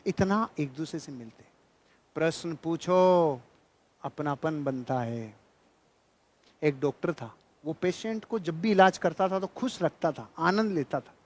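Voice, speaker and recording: A man with a high-pitched voice delivers a motivational seminar speech. He is emphatic and repeats key phrases for effect. His storytelling is colloquial and laced with humour. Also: animated, unhurried. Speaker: motivational speaker and trainer.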